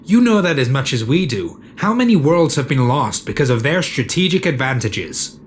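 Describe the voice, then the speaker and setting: A man's voice: medium pitch, sing-song, moderate pace, slight background noise, close to an everyday voice. A man playing an uneasy, cautious shock trooper in a sci-fi audio drama.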